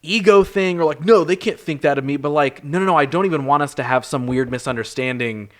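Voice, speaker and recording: A man's voice: on the high side, fast talker, a bit bright. A man co-hosting a podcast, talking earnestly and reflectively in casual chat. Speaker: podcast co-host.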